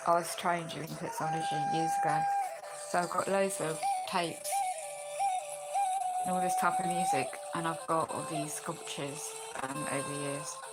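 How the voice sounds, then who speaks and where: A woman's voice: sits low, huge pitch swings, normal speed, loud music behind the voice, poor audio. A woman chatting casually in a rambling vlog, congested and losing her voice, filmed on a phone a friend holds at home. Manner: chatty, rambling, with sighs and tag questions. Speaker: vlogger. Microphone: phone held by a friend.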